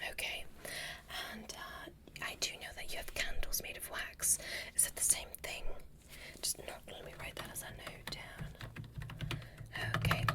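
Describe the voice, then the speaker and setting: A woman voicing a polite, curious alien medical examiner in an ASMR roleplay, whispering gentle, formal step-by-step instructions. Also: close to an everyday voice. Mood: soothing, curious.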